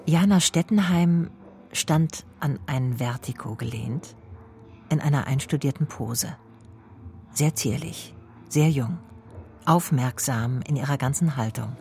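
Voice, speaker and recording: Casting: female private eye for radio crime drama, low voice, measured, wry, probing. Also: darkish tone, heavily acted. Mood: dry, observant. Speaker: lead actress and narrator. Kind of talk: first-person radio detective drama.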